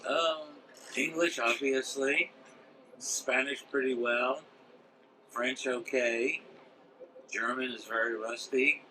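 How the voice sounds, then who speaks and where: A man's voice: mid-range voice, steady tone, slow pace, pauses often, sharp tone, slight background noise, rough recording. A man answering a street interview outdoors in a dry, matter-of-fact way.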